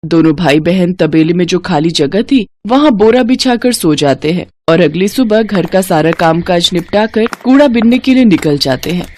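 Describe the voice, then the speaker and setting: A woman's voice: lowish voice, animated, normal speed, few pauses, a little mellow. A woman narrating a scripted moral story as its storyteller.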